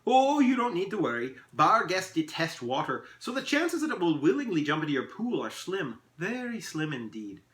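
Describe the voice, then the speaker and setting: Tiny elf, high swooping pitch, mercurial, pompous, theatrical, formal old-fashioned phrasing, storybook. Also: male voice, big pitch swings, a bit bright, reverberant. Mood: breezy, assured. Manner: theatrical, archaic formal phrasing, drawn-out delivery. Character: tiny mercurial male elf, merry, pompous, riddle-loving pipe smoker.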